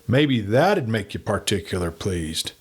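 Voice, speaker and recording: A man's voice: fairly low pitch, big pitch swings, a little slow, breathy edge, exaggerated voice. A man voicing a wry, needling western outlaw who talks in a folksy frontier way, for an audiobook. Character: Hal Purvis, wry, needling male outlaw.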